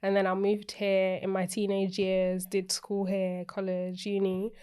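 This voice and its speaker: Smooth-voiced woman podcast guest. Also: mid-range voice, monotone, a little slow, mellow. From Portugal. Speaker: guest, co-founder. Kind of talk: podcast interview.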